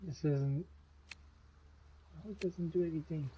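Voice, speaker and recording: A man's voice: fairly high pitch, measured pace, lo-fi sound, a little hiss or hum. A man streaming a video game, giving casual, informal and reactive commentary with frequent exclamations.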